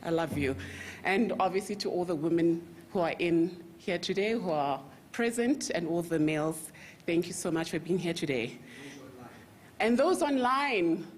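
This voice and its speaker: A woman from Zambia giving a friendly, lively speech to a conference audience as a bank representative. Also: lowish voice, a little slow, slight background noise. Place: conference hall.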